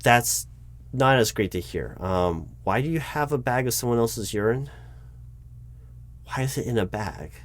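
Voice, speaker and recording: A man playing a job interviewer in an ASMR roleplay, patient but baffled, with a dry, halting delivery full of restarts. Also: mid-range voice, a little hiss or hum. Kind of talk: ASMR roleplay, spoken aloud, not whispered.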